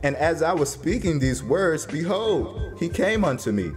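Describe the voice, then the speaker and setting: A man's voice: on the high side, big pitch swings, moderate pace. Grieving biblical prophet, male performer, fearful and solemn, dramatized scripture with a music bed. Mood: awed, fearful. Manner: solemn, archaic phrasing, pleading. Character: grieving, fearful prophet recounting his troubled vision.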